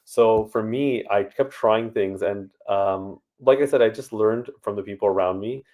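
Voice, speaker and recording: Deep-voiced man, relaxed. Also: moderate pace. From Canada. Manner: relaxed and conversational, many fillers. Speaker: startup founder guest. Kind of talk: casual interview answers.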